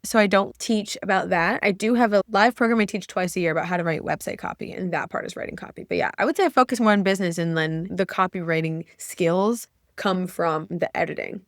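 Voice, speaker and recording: A woman's voice: on the low side, brisk, few pauses, no room sound, studio quality. A woman hosting a podcast in a candid, casual chat, frank and peppered with "like" and swearing. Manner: frank, swears freely, lots of "like". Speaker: podcast host and copywriting coach.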